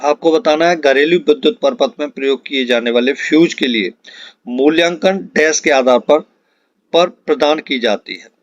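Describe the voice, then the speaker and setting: A man teaching exam prep, reading out quiz questions and answers in an instructional way with deliberate repetition. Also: a little high, no room sound. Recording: dull, lo-fi close dry recording.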